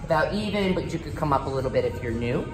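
Middle-aged female instructor, matter-of-fact and brisk, low-ish voice, roomy echo. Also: expressive. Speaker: cycling instructor. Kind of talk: casual demonstration. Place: large gym cycling studio, indoors. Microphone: handheld phone camera. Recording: echoey studio room with music. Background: music playing.

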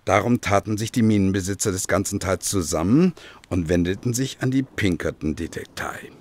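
A male actor plays a former detective with a very deep voice, looking back on a dangerous past. He tells it gravely and with measured drama, in the manner of a radio play. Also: darkish tone, a little hiss or hum, lightly acted. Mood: grave, ominous. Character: John Douglas, grave former Pinkerton detective telling his past. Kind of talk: German radio play acting.

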